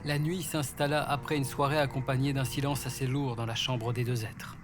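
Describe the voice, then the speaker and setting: A man's voice: mid-range voice, flat delivery, moderate pace, over music. A man narrating a scripted fantasy story.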